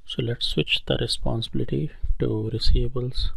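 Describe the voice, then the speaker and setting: A male instructor talks through a software tutorial step by step in an explanatory way. His voice sits at a middling pitch with a gravelly fry. The recording is dry and close. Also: steady tone, moderate pace, dry recording.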